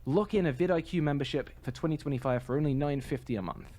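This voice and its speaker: A man's voice: a little high, fast talker, darkish tone. A man co-hosting a podcast, talking in a casual, conversational style. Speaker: podcast co-host.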